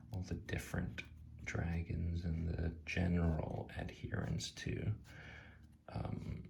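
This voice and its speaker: A soft-spoken ASMR host giving a casual, hushed, unscripted commentary while flipping through a card deck. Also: low-pitched, vocal fry, a little hiss or hum. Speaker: tarot deck reviewer, video host.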